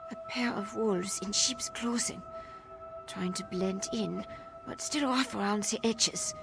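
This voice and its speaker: A woman playing a wary, downtrodden young Nord informant in a video game, telling things in a guarded, world-weary way. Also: on the high side. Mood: guarded, wistful. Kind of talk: video game dialogue acting.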